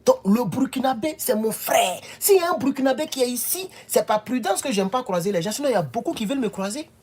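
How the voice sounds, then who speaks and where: A man's voice: very high voice, expressive, fast talker, darkish tone, breathy edge. A man from the Ivory Coast, giving emphatic political commentary in a home video and often repeating himself. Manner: emphatic, repeats himself, rises to insistent peaks.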